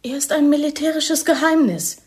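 A woman's voice: high voice, normal speed, lightly acted. An actress in a radio drama playing a distraught, defiant young woman under interrogation, emotional but guarded. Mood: shaken, guarded. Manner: emotional, guarded, short answers.

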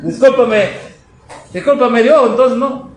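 A man with a high-pitched voice delivers a fervent sermon. He exhorts his listeners, throws out rhetorical questions and acts out their objections. The sound is heavily echoing, with some noise behind him. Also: fairly even intonation, takes it slowly. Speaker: preacher. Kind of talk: fervent sermon to a congregation, reading quotes and commenting. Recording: echoey room, noisy background.

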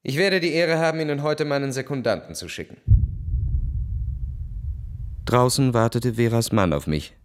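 An actor playing a cynical, world-weary young officer narrating his diary in a cool, measured, introspective way. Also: medium pitch, clean tone, no room sound, studio quality, natural voice. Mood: coldly challenging, then matter-of-fact. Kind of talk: literary radio drama narration, diary-like first person.

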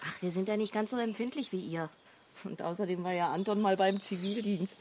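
A well-meaning woman, acted by a woman in a radio drama, with a medium-pitched voice. She sounds earnest and reassuring, reasoning stubbornly for kindness. A little ambient noise sits behind her. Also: a little fast, a little mellow, close to an everyday voice. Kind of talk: naturalistic radio drama dialogue.

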